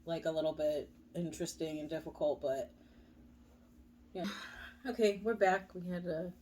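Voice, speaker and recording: A woman's voice: sits low, steady tone, moderate pace, dark tone, slight background noise. A young woman vlogger chatting casually, full of "like" and "you know". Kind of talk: casual chat.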